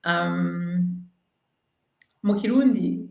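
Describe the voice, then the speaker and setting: List: woman, from burundi, french native, low-pitched, earnest, persuasive, roomy video call. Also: drawn-out words, creaky, a little mellow. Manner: earnest, mixing languages, persuasive. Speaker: candidate. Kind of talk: virtual community meeting, answering questions.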